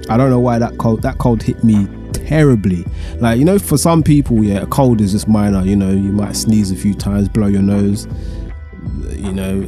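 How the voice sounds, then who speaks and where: A man hosting a podcast in a chatty, rambling way, his voice husky and stuffy-nosed from a cold. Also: mid-range voice. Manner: chatty and rambling, with many fillers. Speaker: podcast host. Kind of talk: casual solo podcast monologue.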